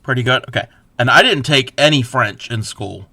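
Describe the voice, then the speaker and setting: A man crocheting on a livestream, counting stitches softly under his breath and chatting casually with viewers. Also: medium pitch, normal speed, no echo. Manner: laughs at himself, chats back to viewers.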